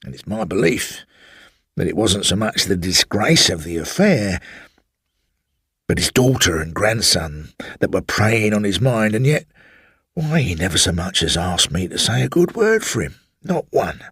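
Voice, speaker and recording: A man doing a garrulous, husky old porter. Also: lowish voice, very animated, a little slow, fairly bright tone, no echo, clean studio sound, heavily acted. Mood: confiding. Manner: confiding, rambling, keeps saying sir. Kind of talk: audiobook storytelling.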